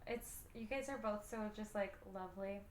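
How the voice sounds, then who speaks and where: A woman's voice: medium pitch, moderate pace, echoey room, a little hiss or hum. A woman radio host chatting enthusiastically with her guests, recorded inside a small trailer. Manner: bubbly, enthusiastic, many likes. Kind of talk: casual interview chat. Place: small trailer on an outdoor theatre site.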